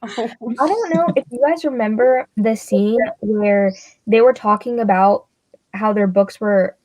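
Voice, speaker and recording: A woman's voice: medium pitch, measured pace, darkish tone, no room sound, rough recording. A woman co-hosting a book club chat, rambling and searching for words. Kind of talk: casual chat.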